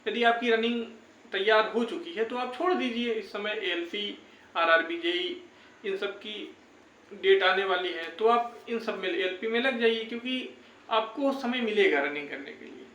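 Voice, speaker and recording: A man's voice: sits high, moderate pace, pushed and bright. A young man giving an informal, reassuring update to viewers, often repeating his phrases. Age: young adult. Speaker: YouTube exam-update presenter. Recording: echoey, roomy sound.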